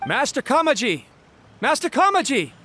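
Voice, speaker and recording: A man's voice: very high-pitched, huge pitch swings, normal speed, very noisy, heavily acted. A man voicing a nervous, deferential young retainer in a video game, with a polite, stammering, anxious manner. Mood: calling out. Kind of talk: game voice acting. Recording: music behind the voice.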